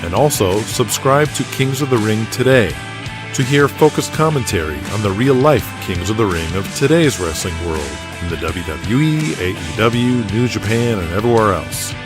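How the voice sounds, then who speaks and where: A man narrating a wrestling audio drama and reading its promo in a measured, scripted way. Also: lowish voice, expressive, music in the background, voice pushed down, natural voice.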